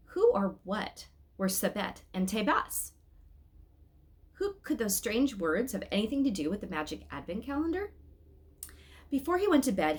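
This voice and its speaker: A woman reading a story aloud expressively, in an informal way, with little asides to her listeners. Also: medium pitch. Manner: animated, addresses listeners with asides.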